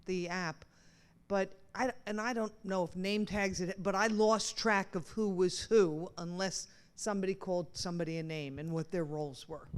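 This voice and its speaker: A woman in an audience giving candid, halting, informal feedback. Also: fairly low pitch, steady tone, clear, resonant tone. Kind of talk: informal spoken critique.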